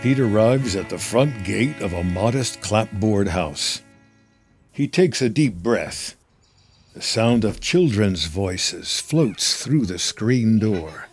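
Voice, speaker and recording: A man's voice: lowish voice, animated, slow, breathy edge. A man reading scripted screenplay narration as the narrator of a drama. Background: music.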